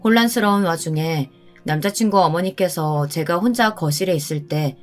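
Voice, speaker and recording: Low-pitched woman narrating a story. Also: normal speed, faint music behind. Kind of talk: scripted first-person dramatic story narration.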